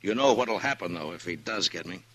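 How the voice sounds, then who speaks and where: An actor playing a calm, tough frontier marshal in a 1950s radio drama, dry and clipped. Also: male voice, sits low, brisk, dry recording. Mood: steady. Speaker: town marshal. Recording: old radio broadcast.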